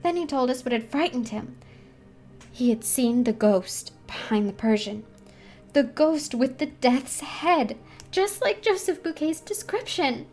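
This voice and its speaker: Woman voicing an excitable, high-pitched young ballet girl. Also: unhurried, soft background music. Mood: breathless gossip. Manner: breathless, insistent, gossipy.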